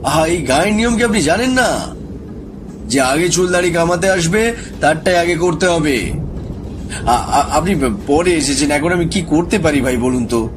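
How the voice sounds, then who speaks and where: A man's voice: on the high side, lively intonation, moderate pace, natural voice. A man playing a polite, patient village barber in a cartoon, explaining hesitantly with small stammers. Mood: patient, apologetic. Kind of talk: cartoon drama acting. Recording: noisy background ambience.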